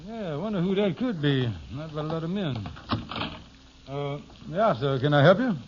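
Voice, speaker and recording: An actor playing a lazy, scheming, self-important husband in an old-time radio sitcom, with folksy, ungrammatical, pompous speech. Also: male voice, on the high side, sing-song, normal speed. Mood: businesslike. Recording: dull, noisy vintage radio recording.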